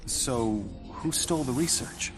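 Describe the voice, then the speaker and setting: A man acting as a quietly probing male visitor in a game cutscene. His mid-pitched voice is restrained, and he speaks in short, inquisitive phrases. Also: a little slow, over music, lightly acted. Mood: curious, probing. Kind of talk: dramatic game cutscene dialogue.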